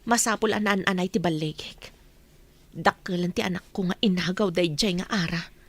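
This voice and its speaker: A woman's voice: on the low side, normal speed. A woman with an Ilocano accent playing a wronged mother bent on revenge in a radio drama, speaking with intensity. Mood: determined. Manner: determined, intense.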